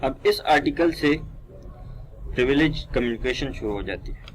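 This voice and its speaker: A man giving a measured, deliberate law lesson, reading formal text aloud and explaining it. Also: mid-range voice, expressive, slight background noise, poor audio. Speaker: law lecturer. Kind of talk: reading statute in English and explaining it in Urdu.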